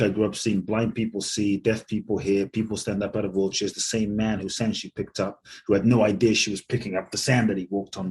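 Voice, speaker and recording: A man in his forties, born in Ghana and raised in London, telling his life story as a podcast guest in an earnest, measured way. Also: fairly low pitch, darkish tone. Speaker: guest, spiritual speaker and author. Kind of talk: reflective storytelling in an interview.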